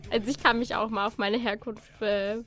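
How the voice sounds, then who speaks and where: Young woman from the Ruhr area, outspoken radio guest, slightly high voice. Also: fairly even intonation, a little fast, fairly bright tone, soft background music. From Ruhr area, Germany. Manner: chatty, laughs often, outspoken. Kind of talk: casual radio chat.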